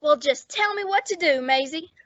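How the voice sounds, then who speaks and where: An excitable, naive young sidekick played by a woman in an old-time radio comedy. The voice is extremely high and leaps about, eager and helpful. The recording is a little dull. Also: moderate pace, no room sound.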